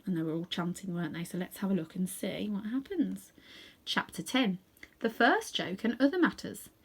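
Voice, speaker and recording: A woman's voice: medium pitch, expressive, moderate pace, husky. A woman reading a storybook aloud, narrating expressively with casual asides to the listener. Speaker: storybook reader.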